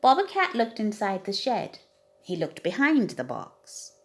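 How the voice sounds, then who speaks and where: A woman narrates a children's storybook at bedtime. Her mid-pitched voice moves expressively up and down, with very animated intonation throughout. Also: a little slow. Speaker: storybook reader.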